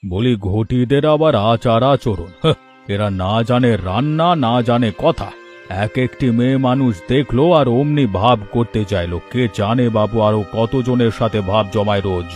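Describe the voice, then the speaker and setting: A man's voice: medium pitch, normal speed, darkish tone, soft background music, lightly acted. A man doing a stern, grumbling village father. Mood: scornful. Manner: scornful, scolding. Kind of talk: cartoon dialogue.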